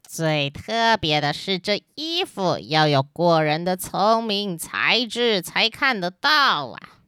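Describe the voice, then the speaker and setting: A crafty fairy-tale tailor, voiced by a woman, boasts like a salesman. The words come slowly and theatrically, with a bright, clear tone and big swings of pitch. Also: sing-song, no echo, studio quality, exaggerated voice. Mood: sly, boastful. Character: sly swindler tailor boasting of magic cloth.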